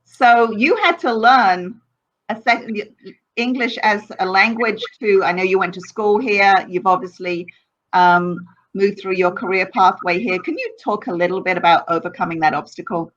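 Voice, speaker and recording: A woman's voice: mid-range voice, moderate pace, a bit bright. A woman interviewer asking questions in a conversational style. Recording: dull, lo-fi sound.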